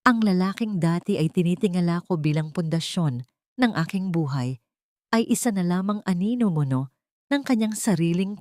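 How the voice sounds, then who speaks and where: A woman narrating a scripted first-person dramatic story in a solemn, deliberate, sentimental way. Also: low voice, warm tone, dry recording, studio quality.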